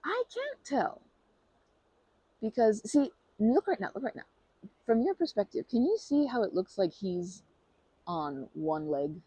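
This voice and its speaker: A woman's voice: a little high, very animated, measured pace, pauses often, breathy edge, dry recording, low-quality recording. A woman in an ASMR roleplay who talks to the listener in a rambling, bashful and playful way, full of fillers and restarts. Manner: playful and earnest, laughing softly.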